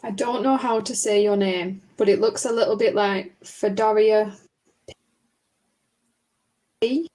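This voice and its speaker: A woman's voice: mid-range voice, fairly even intonation, a little slow, pauses often, mellow, low-quality recording. A woman co-hosting a live coloring stream, chatting in a friendly, easygoing way. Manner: friendly, easygoing, reads viewer names aloud. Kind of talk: casual live-stream chat.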